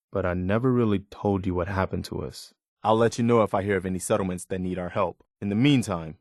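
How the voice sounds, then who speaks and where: Guy voicing a loyal militia officer for a video game, kinda deep, earnest and dutiful, really dry sound. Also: no echo, lightly acted. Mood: earnest, hopeful. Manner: sincere, plainspoken, dutiful. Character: earnest, steadfast militia officer, loyal adult male companion. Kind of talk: acted video game dialogue.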